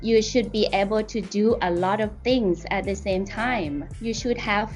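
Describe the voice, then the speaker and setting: Woman, mid-pitched, methodical interviewee explaining her profession, music underneath. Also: a little slow. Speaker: air traffic controller being interviewed. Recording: soft music behind the voice.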